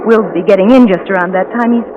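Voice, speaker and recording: A woman's voice: medium pitch, fast, muffled recording, lightly acted. A woman playing an anxious, lovesick and possessive young woman in a 1940s radio drama, emotional and insistent, with hesitations. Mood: devoted, confident. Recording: noisy vintage 1940s radio recording.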